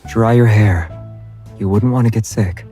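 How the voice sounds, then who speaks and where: A man plays a calm, protective young man in a romantic audio drama. His low voice is dark and soft-edged, understated and dryly teasing, with music underneath. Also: unhurried, close to an everyday voice. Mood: calm, caring. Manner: understated, deadpan.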